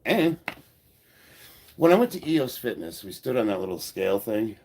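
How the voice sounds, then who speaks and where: A man's voice: fairly low pitch, sing-song, normal speed, gravelly, a little mellow. A man in his fifties with an American accent, hosting a casual livestream and answering viewer comments bluntly with some swearing, stuffed up from a head cold. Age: 50s. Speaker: livestream host and powerlifter.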